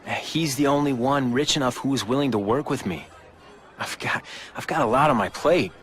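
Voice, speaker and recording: An actor playing a young American corporate heir in a video game, casual but measured and businesslike. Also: male voice, mid-range voice, a bit bright, natural voice. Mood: guarded. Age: young adult.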